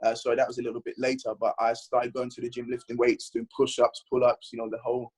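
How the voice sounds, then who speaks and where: Husky-voiced London man telling his story. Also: mid-range voice, quick pace. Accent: London English. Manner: rambling, restarts, animated storytelling. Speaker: guest bodybuilder and trainer. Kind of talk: casual podcast chat.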